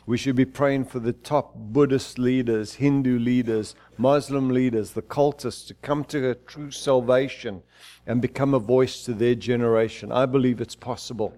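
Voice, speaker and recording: A middle-aged male pastor from Zimbabwe preaching in a church, fervent and declaiming, though his phrases often stumble and restart. His voice is dark and mellow, sitting at an ordinary man's pitch. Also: fairly even intonation, moderate pace. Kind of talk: sermon.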